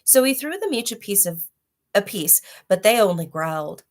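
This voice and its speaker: A woman reading a children's storybook aloud with deliberate, dramatic phrasing and casual asides. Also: medium pitch, very animated. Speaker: storybook reader, host of a read-aloud library.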